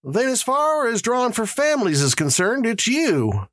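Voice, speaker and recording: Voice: mid-range voice, big pitch swings, normal speed, no echo, studio quality, voice pushed up, natural voice. A narrator voicing a male town official who gives orderly instructions in a formal, patient, civil way. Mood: formal, directing.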